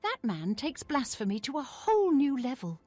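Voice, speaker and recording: Very high-pitched indignant townswoman. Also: sing-song, normal speed, darkish tone, no echo, soft background music. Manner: emphatic, disapproving. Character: pious, outraged townswoman of a fantasy city. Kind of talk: fantasy game voice acting.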